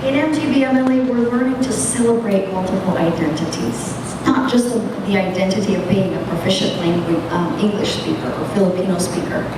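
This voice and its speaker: A woman's voice: fairly quick, hardly any pauses. A woman teacher educator giving an earnest conference lecture, speaking emphatically and putting questions straight to the audience. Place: large echoey lecture hall. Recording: echoey hall, distant mic, rumbling noise.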